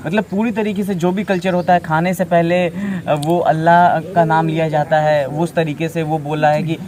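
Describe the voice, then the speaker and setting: A man's voice: a little high, level tone, normal speed, a little mellow. A man conducts an interview as a local reporter. He puts his questions in an unscripted, conversational way.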